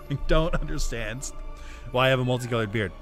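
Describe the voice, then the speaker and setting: A man's voice: medium pitch, a little fast, fairly bright tone. A young man from the northeastern United States, streaming a game and chatting in a rambling, joking way with some swearing. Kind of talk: casual gaming chat. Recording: game music behind voice.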